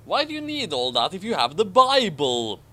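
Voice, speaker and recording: A man's voice: sits high, wildly swooping pitch, moderate pace, pushed and bright, voice pushed up, heavily acted. A man voicing an innocent, polite young boy with an earnest, questioning manner in a story reading. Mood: curious.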